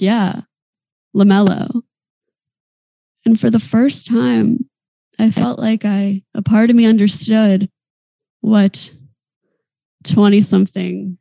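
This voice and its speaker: A woman's voice: mid-range voice, vocal fry, no room sound, low-quality recording. A woman in her twenties co-hosting a podcast, chatting casually and telling stories with giggles. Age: 20s. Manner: chatty, giggly, animated storytelling. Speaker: podcast co-host. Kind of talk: casual chat.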